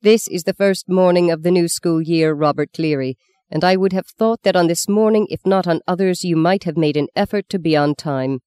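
A narrator voicing a stern, cold elderly nun with a faded Irish accent who barks out slow, clipped reproaches. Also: female voice, on the low side, darkish tone, clean tone, dry recording, natural voice. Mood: coldly stern. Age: 70s. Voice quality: dry, clipped. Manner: barking, slow, deliberate.

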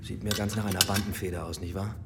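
An actor playing a brisk, impatient police inspector in a radio drama, terse, probing and businesslike. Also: male voice, low-pitched, fairly even intonation, a bit bright, a little hiss or hum.

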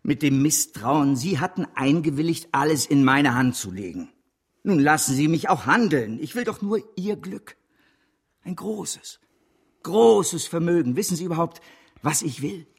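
Grandiose, husky, animated banker. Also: male voice, on the high side, measured pace, full character voice. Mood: insistent, indignant. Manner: bombastic, persuasive, impatient. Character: grandiose, scheming bank director intoxicated by speculation. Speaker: bank director. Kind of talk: German radio drama acting.

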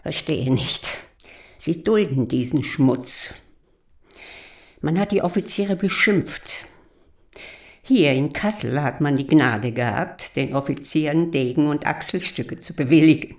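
An actress playing a proud old German countess in a radio drama, gravelly, formal and indignant, grieving for her monarchy. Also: low voice, measured pace, darkish tone. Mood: indignant, reproachful. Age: older.

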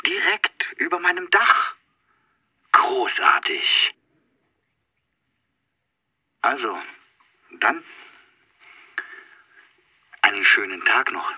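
An actor playing a male neighbour in a radio drama, speaking in halting, drawn-out remarks. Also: medium pitch, big pitch swings, pushed and bright, breathy edge, no room sound, studio quality, exaggerated voice. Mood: halting, emphatic.

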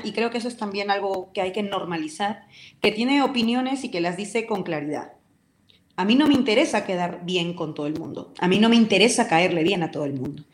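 A Peruvian woman talks as a radio guest with fierce conviction, pressing each point home. Her voice sits in the middle of the range. The recording carries a roomy echo around her. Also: mid-range voice. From Peru. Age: adult with a decade living abroad. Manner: vehement, passionate, speaks her opinions firmly. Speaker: interview guest, talk show host and commentator. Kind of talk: radio interview.

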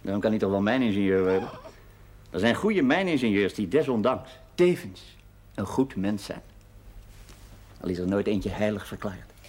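A man's voice: fairly low pitch, lively intonation, moderate pace, pauses often, darkish tone, breathy edge. An actor with a Dutch accent playing a sardonic, evasive husband and new father in a period television drama, with a dry, brusque manner. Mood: dry, teasing. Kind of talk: naturalistic television drama acting. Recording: noisy 1970s television soundtrack.

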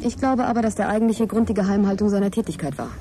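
Female actor for radio play scientist: sceptical, direct, brisk conversational delivery against busy café chatter. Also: medium pitch, close to an everyday voice. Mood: reflective, matter-of-fact. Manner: guarded, direct, questioning. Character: guarded divorced female scientist, sceptical, frank, wary. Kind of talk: naturalistic radio drama dialogue. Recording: crowd chatter behind, café scene. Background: café crowd chatter.

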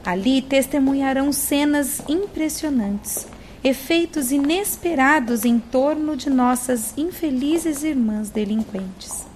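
An actress playing a calm, formal female spirit who directs a women's hospital in a radio play. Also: sits high, slow pace, slight background noise, poor audio. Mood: calm welcoming.

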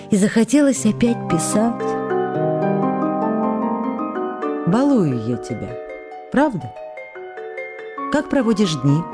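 An actress playing a tender, lovestruck young actress in a radio play, reading her letters aloud in an intimate, wistful manner with pensive pauses. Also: fairly low pitch. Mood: tender, musing.

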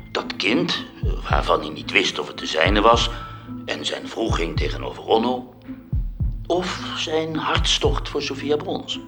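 Very deep slow narrator, musing, swooping voice, music clearly under it. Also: male voice, exaggerated voice. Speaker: second narrator. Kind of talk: radio play narration.

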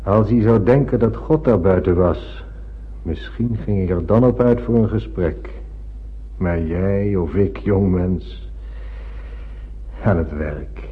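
A seasoned male ship's officer, low and rather husky, philosophising with dry irony. The sound is dull and muffled. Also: heavily acted. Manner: sardonic, measured, philosophical. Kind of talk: radio drama acting. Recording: muffled old radio play.

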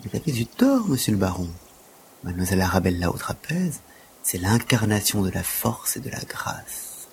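A man's voice: on the low side, big pitch swings, moderate pace, creaky, slight background noise. A man playing a humble, deferential gymnastics teacher in an audio drama, speaking in earnest, wordy, formal phrases. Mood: smitten, humble.

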